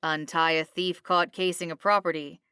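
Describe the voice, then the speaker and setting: A woman's voice: fairly low pitch, flat delivery, moderate pace, sharp tone, clear, resonant tone, no echo, studio quality. A woman voicing a thin, authoritative male military captain in a storybook, sceptical, clipped and sardonic. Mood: dry, sceptical.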